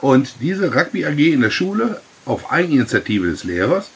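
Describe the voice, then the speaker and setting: A man's voice: medium pitch, big pitch swings, normal speed, slightly rough. A middle-aged man, a rugby club president, telling his story with many fillers in long winding sentences. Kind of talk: casual interview answers.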